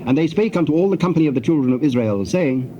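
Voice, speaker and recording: A man's voice: a little high, expressive, fast talker, dark tone. A man narrating scripture in a formal scripted style. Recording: muffled, noisy old tape.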